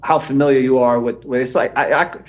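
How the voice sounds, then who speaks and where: A man's voice: medium pitch, fairly even intonation, fast, highs cut off. A man in his forties, a podcast guest calling in from his home, talking in a laid-back, rambling way full of "man" and "you know.". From Israeli and Iranian background. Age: 40s. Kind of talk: casual conversation. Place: home in Germany, remote call.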